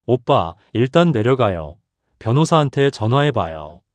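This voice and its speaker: A man's voice: medium pitch, big pitch swings, unhurried, no room sound. A man voicing a pleading young woman. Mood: urging. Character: young woman urging her distraught older brother.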